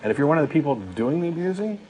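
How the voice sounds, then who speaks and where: A man's voice: on the high side, big pitch swings, rapid-fire, dark tone, low-quality recording. A man co-hosting a talk show, speaking conversationally and emphatically as he reasons through his own views. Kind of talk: conversational discussion, personal reflection. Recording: clear background noise, room ambience.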